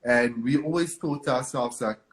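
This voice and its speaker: A man's voice: mid-range voice, normal speed. A man from Cape Town with a South African accent, a guest speaking earnestly and encouragingly in a live video interview. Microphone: video call.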